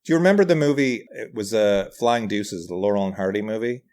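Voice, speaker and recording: A man's voice: on the low side, a little fast, darkish tone. A middle-aged man, telling anecdotes casually in a self-deprecating, hesitant way as a podcast guest. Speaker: podcast guest, writer and comedian.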